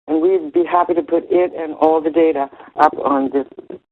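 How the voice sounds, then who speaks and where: A woman's voice: deep voice, fairly even intonation, moderate pace. Lady scientist phoning in, tinny line, explains stuff plainly and steadily. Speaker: researcher calling in. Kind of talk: explaining research on a conference call. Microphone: telephone conference call. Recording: tinny narrowband phone line.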